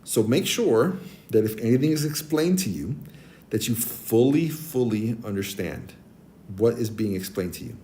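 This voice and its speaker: A man in his forties with a naturally deep voice, chatting casually with stream viewers and giving friendly advice, with many ums and restarts. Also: animated, normal speed, dark tone, slight background noise. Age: 40s. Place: abroad, streaming on a tablet. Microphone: tablet mic.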